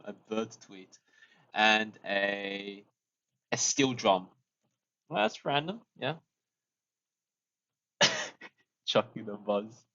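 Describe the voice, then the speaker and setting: Young male streamer, casual coding chat, mid-pitched, slow, lo-fi audio. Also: bright, forceful tone. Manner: thinks aloud, restarts phrases, chuckles often.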